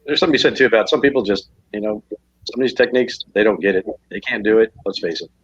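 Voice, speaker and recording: A man's voice: on the low side, fast, slightly rough, no echo. A man on a live panel talk show, speaking bluntly and matter-of-factly. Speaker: trader, panelist. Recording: dull, dry lo-fi line.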